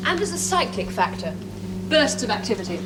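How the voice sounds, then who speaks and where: A woman's voice: a little high, very animated, fairly quick. An actress playing an intense, earnest woman scientist with an English accent, insistent and probing, in a 1970s television drama. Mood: earnest, explaining. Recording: noisy, humming old TV soundtrack.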